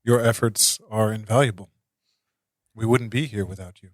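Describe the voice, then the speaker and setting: A man playing a calm military intelligence officer in a tabletop game, speaking formally in halting, measured phrases. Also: fairly low pitch, no echo, lightly acted. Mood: calm, deliberate. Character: calm, deliberate male military intelligence officer, quietly insistent.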